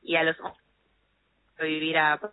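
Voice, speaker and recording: A young woman answering interview questions over a phone call, halting and hesitant with many fillers. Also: deep voice, completely flat delivery, clear, resonant tone, dry recording. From United States. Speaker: athlete guest. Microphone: phone call over messaging app. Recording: tinny phone line, faint noise.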